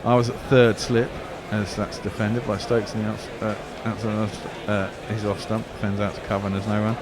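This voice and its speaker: A man's voice: on the low side, little pitch movement, a little fast, a crowd in the background. A man giving casual ball-by-ball radio commentary on cricket, rambling into anecdotes between deliveries. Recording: background noise.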